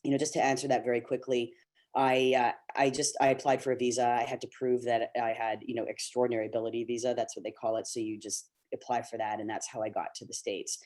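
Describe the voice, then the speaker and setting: Mellow-voiced middle-aged man, earnest and encouraging. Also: mid-range voice, little pitch movement, fast. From Canada. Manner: earnest, encouraging, many fillers and restarts. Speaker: actor speaking on a casting webinar. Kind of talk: candid talk to young actors. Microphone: video call.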